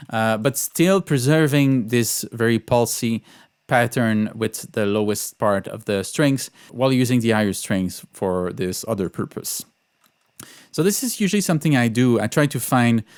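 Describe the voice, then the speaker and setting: Clear-voiced man explaining music, halting phrasing. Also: mid-range voice. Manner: hesitant, halting phrasing with repeated words; sings out rhythms in syllables. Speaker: composer teaching orchestration. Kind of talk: informal music tutorial, explaining a score.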